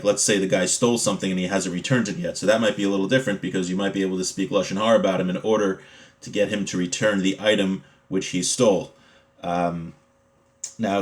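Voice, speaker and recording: A man with a fairly low voice gives an informal religious lesson. He talks conversationally as a teacher would, explaining the text in plain terms and using everyday examples. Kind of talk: informal Torah class, reading a text and explaining it.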